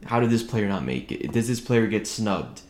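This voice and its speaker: A man's voice: very animated, moderate pace, darkish tone. A man hosting a casual solo sports podcast, talking conversationally and keeping his voice down as he records at home. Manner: conversational, keeping voice low late at night. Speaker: podcast host. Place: indoors at home, echoey room. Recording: roomy, echoing home recording.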